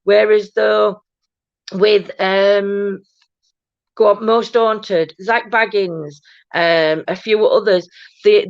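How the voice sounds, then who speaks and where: A woman hosting a paranormal chat stream, talking casually with a loud, booming voice and many restarts. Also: no room sound. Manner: rambling, many restarts and "do you know what I mean". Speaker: host of a paranormal chat show. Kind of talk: casual live-stream chat reading viewer comments. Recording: dull, dry low-fi stream audio.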